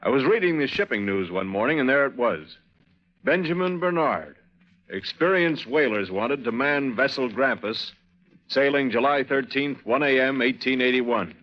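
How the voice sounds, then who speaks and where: A man's voice: medium pitch, expressive, moderate pace, natural voice. Green young seafarer, played by a man: earnest, easygoing, plain-spoken, 1940s radio drama, dull vintage recording. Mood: reminiscing. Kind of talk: 1940s radio drama acting, first-person narration and dialogue.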